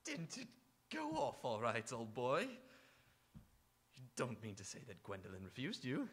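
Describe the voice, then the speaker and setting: A man's voice: medium pitch, wildly swooping pitch, a little slow, a bit bright, slightly rough, exaggerated voice. A man acting in live radio theatre as a flippant, mischievous young English dandy with a theatrical manner. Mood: teasing.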